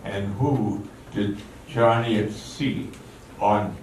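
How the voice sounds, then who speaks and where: A man's voice: fairly low pitch, steady tone, extremely slow, darkish tone. A man reading scripted radio drama narration, recorded distant in a very echoey, noisy hall.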